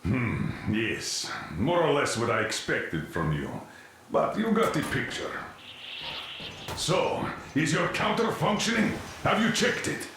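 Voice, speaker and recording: A man acting a stern, weary military commander in a video game, gruff, authoritative and sardonic. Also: fairly high pitch, sing-song, slow pace, heavy reverb, background noise. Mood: dry, assessing.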